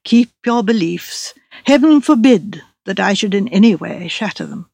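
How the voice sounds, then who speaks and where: A woman's voice: mid-range voice, expressive, normal speed, vocal fry, darkish tone, husky, no room sound. Woman playing a self-important, debonair young writer. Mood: grave, patronising. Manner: debonair, patronising.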